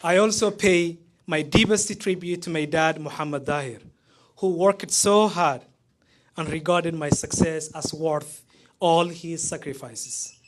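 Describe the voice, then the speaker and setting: A man in his thirties from Somalia, speaking with a Somali accent as he gives a prepared, heartfelt graduation speech, pausing between phrases. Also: a little high, a little hiss or hum. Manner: measured, heartfelt delivery with pauses between phrases.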